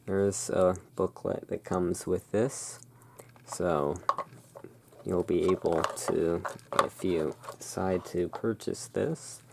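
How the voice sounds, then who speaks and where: Slow, low, creaky ASMR roleplay host. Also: steady tone, background noise. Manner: halting, musing, softly explanatory. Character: owner of a dark gothic curiosity emporium. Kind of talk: ASMR roleplay, showing tarot cards.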